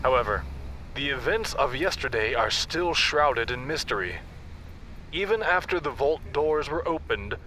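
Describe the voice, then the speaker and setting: A man plays a grave on-location news reporter in an audio drama. His forceful, bright voice delivers a scripted report over faint street and traffic noise. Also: mid-range voice.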